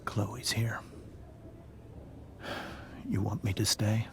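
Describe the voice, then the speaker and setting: A man playing a gentle, hushed, worried middle-aged father in a video game drama. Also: fairly low pitch, little pitch movement, very slow, gravelly, background noise, heavily acted. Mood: soothing.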